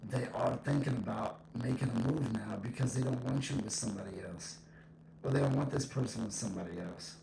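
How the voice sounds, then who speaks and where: Male solo podcaster, heavy vocal fry under an echo effect, blunt and emphatic, informal monologue. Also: medium pitch, fairly quick. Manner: blunt, emphatic, repeats points. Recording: echo effect, faint background noise.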